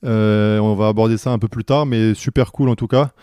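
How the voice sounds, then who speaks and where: A man's voice: on the low side, steady tone, brisk, mellow, clear, resonant tone, no room sound, studio quality. A young man hosting a basketball podcast, chatting casually and friendly with lots of fillers and restarts. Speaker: podcast host.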